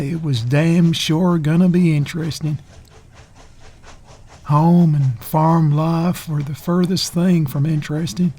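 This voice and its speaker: Mellow, husky, reminiscing narrator. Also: male voice, a little high. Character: restless farm-bred man reminiscing as narrator. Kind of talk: first-person audio drama narration.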